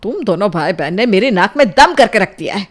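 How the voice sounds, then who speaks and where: A woman's voice: mid-range voice, expressive, fairly quick, natural voice. Woman acting a furious, strict Indian mother. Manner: scolding, threatening, forceful. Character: strict, furious middle-aged Indian mother scolding her children.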